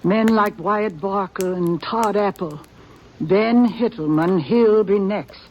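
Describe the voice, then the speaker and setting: An actress playing a stern elderly frontier grandmother in a 1950s radio drama, speaking plain rural talk in a grim, steady way over faint hiss. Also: mid-range voice, slow pace, low-quality recording. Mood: bitter. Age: older. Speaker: grandmother of a young gunman.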